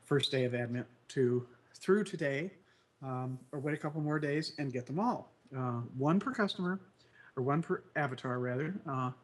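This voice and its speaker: A co-host of a casual online news chat show, slightly nasal and croaky from a cold, rambling with many fillers. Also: male voice, medium pitch, normal speed. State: congested, recovering from flu.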